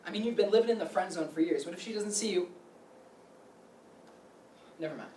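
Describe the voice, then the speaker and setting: A woman's voice: on the low side, a little fast, dark tone, natural voice. A woman playing a blunt, sardonic teenage best friend in a staged play reading, dry and exasperated. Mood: concerned. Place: room at a live staged reading. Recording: echoey room, distant mic, faint noise.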